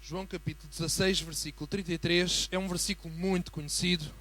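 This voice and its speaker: Fervent bright-voiced European Portuguese preacher. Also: male voice, sits high, fairly even intonation, quick pace. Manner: swells from quiet greeting to fervent emphatic preaching. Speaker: guest preacher. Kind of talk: sermon. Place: church.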